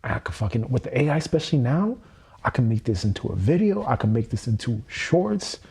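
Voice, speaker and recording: A man's voice: mid-range voice, sing-song, fairly quick, darkish tone, slightly rough. A man in his thirties on a livestream, speaking earnest, repetitive self-affirmations with frequent swearing. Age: 30s. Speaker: EFT tapping livestreamer.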